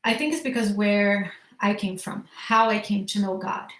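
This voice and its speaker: A woman's voice: mid-range voice, moderate pace. Earnest Brazilian woman, echoey room. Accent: Brazilian Portuguese. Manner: earnest, restarts sentences. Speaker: guest. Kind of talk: personal testimony in conversation.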